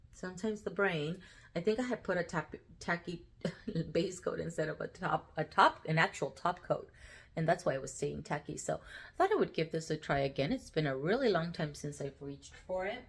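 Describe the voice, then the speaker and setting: A woman's voice: fairly low pitch, a bit bright. A woman vlogger chatting casually about her nail polishes, with a nasal, congested voice, rambling upbeat with frequent ums and little laughs. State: full after eating. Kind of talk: casual chatty product talk.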